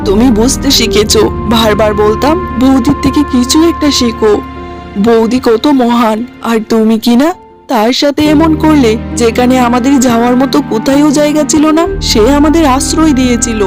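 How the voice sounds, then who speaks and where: Woman playing young husband, fairly high voice, exasperated, reproachful, lecturing, cartoon, clear music behind. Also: normal speed, close to an everyday voice. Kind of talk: cartoon dialogue.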